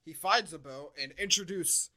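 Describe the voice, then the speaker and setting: Guy on a podcast doing a casual film recap, kinda high voice, really bright and punchy, sardonic. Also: moderate pace. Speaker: podcast co-host. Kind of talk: casual comedic movie recap chat.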